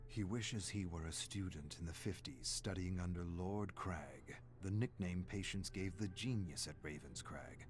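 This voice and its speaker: A man's voice: low-pitched, measured pace, hardly any pauses, a little hiss or hum. A man giving a dramatic scripted story narration, intense and emphatic, leaning on repeated phrases.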